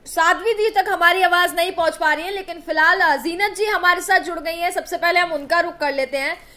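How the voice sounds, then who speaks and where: Very high-pitched bright woman news anchor. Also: a little fast. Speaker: news anchor and debate host. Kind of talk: live TV panel debate hosting.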